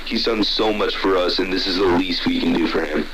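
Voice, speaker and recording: A man's voice: deep voice, steady tone, a little fast, heavy background noise, natural voice. A man playing a blunt, determined military commander who swears as he addresses his troops in an audio horror drama. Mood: resolute, businesslike. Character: gruff, determined military commander rallying troops to rescue a soldier. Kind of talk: radio message acting in audio horror.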